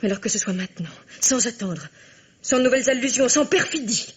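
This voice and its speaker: Husky young daughter. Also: female voice, a little high, expressive, a little fast. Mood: defiant. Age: young adult. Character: proud, wounded young daughter about to marry. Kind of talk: French radio drama acting.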